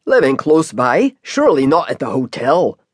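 A kindly older Scottish cabbie, as the storyteller puts him on, lower and slower than the narration. He drawls in a friendly, chatty way, and the sound is close and dry. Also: big pitch swings, no room sound. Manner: slow, warm, folksy.

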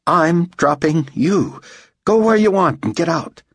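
A man's voice: a little high, very animated, normal speed, a bit bright, husky, dry recording, clean studio sound, pitched above the performer's own voice, exaggerated voice. A man voicing a tense, impatient male director in an audiobook, barking curt demands. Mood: exasperated. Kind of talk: audiobook character voice.

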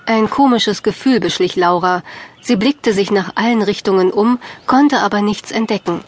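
A woman narrating a scripted children's audiobook in a measured, clearly phrased storytelling manner. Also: mid-range voice, slight background noise.

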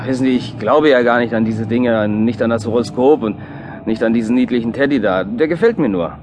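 A man acts out a cheerful taxi driver in a radio drama. His talk is breezy and colloquial, at an average male pitch. Car and traffic noise runs clearly behind him. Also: fairly quick, lightly acted. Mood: breezy, slightly defensive. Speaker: actor in an audio drama. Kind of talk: radio play dialogue. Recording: noisy, with car and traffic sounds. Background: car engine and street ambience.

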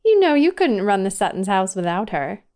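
A woman's voice: medium pitch, very animated, normal speed, lightly acted. A woman playing a kindly, polite store worker in an audio drama. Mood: obliging, amused. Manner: polite, gentle teasing.

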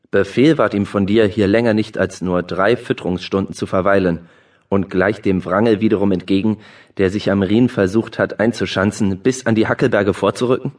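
An actor playing a brisk, mocking courtier and officer in a classical verse drama, speaking in elevated, declamatory stage diction. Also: male voice, on the low side, recorded from a distance, lightly acted. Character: brisk mocking male courtier and officer reporting to his lord. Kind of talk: classical German verse drama audiobook acting.

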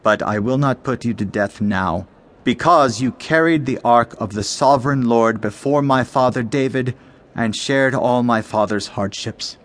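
A man's voice: medium pitch, measured pace, lightly acted. A man playing a stern young king in a dramatized scripture recording, speaking with solemn, emphatic authority. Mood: stern, commanding. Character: stern, commanding young king passing judgment. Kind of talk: dramatized scripture audiobook acting.

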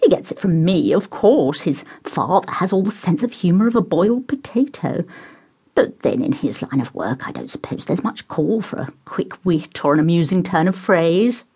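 A woman voicing a meddling, gushing upper-class mother who squeals and beams in a high, shrill voice. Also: lively intonation, normal speed, mellow, slightly rough.

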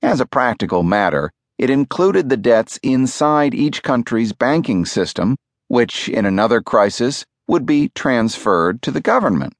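A man reads a nonfiction audiobook in a measured, clearly phrased storytelling manner, close to the microphone. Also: mid-range voice, big pitch swings, no echo. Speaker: audiobook narrator. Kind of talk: scripted nonfiction audiobook narration. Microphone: close studio microphone.

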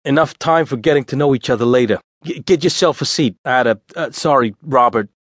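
Man playing a proud, bossy ringleader, pitched up. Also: fast talker, dry recording, clean studio sound, close to an everyday voice. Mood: rallying. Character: bossy, proud male ringleader of lookalike troupe.

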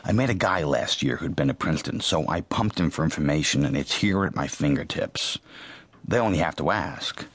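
A man's voice: very deep voice, expressive, a little fast, fairly bright tone, no echo, heavily acted. An actor playing a calculating, detached young American man, narrating dryly and confidingly in a radio drama. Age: young adult.

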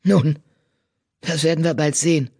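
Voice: normal speed, vocal fry, slightly rough. A narrator playing a growling, accusing old she-cat. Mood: menacing. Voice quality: low growl, hissing. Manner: menacing, accusatory, grim. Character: fierce old female cat, bitter former healer, accusing.

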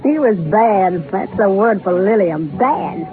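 A woman's voice: mid-range voice, lively intonation, slow. Folksy older Southern carnival woman, tinny radio. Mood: confiding. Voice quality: folksy, rural speech. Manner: rambling, confiding storyteller. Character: folksy aging Southern carnival show owner, wistful, garrulous woman. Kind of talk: 1930s radio drama narration. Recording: tinny scratchy 1930s radio broadcast. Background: music.

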